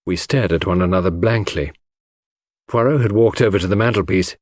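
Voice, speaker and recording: A man's voice: low voice, a little fast, dry recording, studio quality. A man reading scripted audiobook narration as the narrator of a story.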